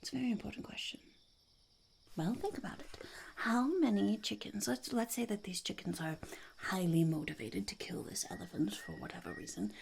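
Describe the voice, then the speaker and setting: A woman voicing an eccentric, self-important goblin conducting a whispered ASMR interview, halting and fussy, with a creaky, gravelly voice. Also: mid-range voice, expressive, a little hiss or hum. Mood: curious, musing. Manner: halting, fussy, self-repeating, refers to self in third person. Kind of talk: ASMR roleplay, whispered and soft-spoken interview.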